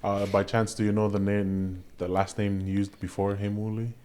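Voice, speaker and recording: A male podcast host opens with a prepared introduction, then moves into plain, direct questions for his guest. His voice sits low and has a mellow, soft-edged tone. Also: flat delivery, moderate pace, a little hiss or hum. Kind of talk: podcast interview.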